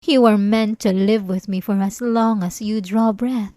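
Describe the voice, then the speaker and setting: Woman playing a slow-speaking, obsessive vampire lady. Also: medium pitch, fairly even intonation, dark tone, dry recording, close to an everyday voice. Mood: possessive, excited. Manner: theatrical, silky, menacingly tender, deliberate. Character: obsessive, possessive centuries-old female vampire captor. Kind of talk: ASMR roleplay acting.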